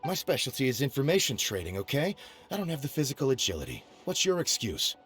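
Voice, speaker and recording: A man's voice: mid-range voice, very animated, quick pace, a bit bright, soft background music. A man playing a wry male information broker who talks in a sarcastic, wordy way. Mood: defensive.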